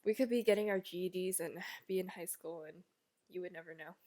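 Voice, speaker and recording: A woman's voice: lowish voice, mellow. A young woman co-hosting a casual podcast with a friend, chatting playfully and laughing often. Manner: giggly, playful, quick to laugh. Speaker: podcast co-host. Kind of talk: casual chat.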